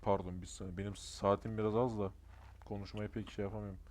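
A man's voice: lowish voice, little pitch movement, fairly quick, crowd noise. A man chatting casually in an online roleplay game, making crude mock threats with plenty of swearing.

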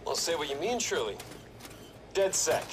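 A boastful tech executive in a game's TV interview. He sells with smug confidence and a lively pitch. The playback is clearly noisy. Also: moderate pace. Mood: challenging. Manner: confident, salesmanlike. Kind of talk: TV interview in a video game cutscene.

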